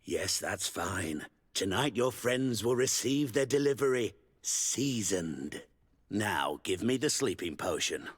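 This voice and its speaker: An actor playing a brash, self-interested brothel keeper in a video game, with a shady, informal way of bargaining. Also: male voice, fairly low pitch, animated, slow, breathy edge, exaggerated voice. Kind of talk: scripted video game dialogue. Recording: long reverberant hall echo.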